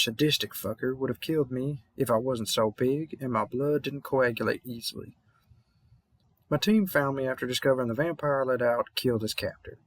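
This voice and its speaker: A man's voice: medium pitch, dry recording, natural voice. Man acting a rambling, Southern-accented veteran vampire hunter. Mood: wry, reminiscing. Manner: rambling, slightly mumbled, informal and encouraging. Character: experienced, rambling, kindly male vampire hunter mentor, big. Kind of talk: intimate scripted audio roleplay monologue.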